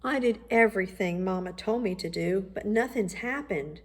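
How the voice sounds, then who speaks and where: A woman voicing an earnest, expressive young boy in a children's storybook. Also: mid-range voice, normal speed, breathy edge, natural voice. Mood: dejected, earnest. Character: young boy, earnest, dejected, then excited and determined. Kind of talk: children's storybook read-aloud.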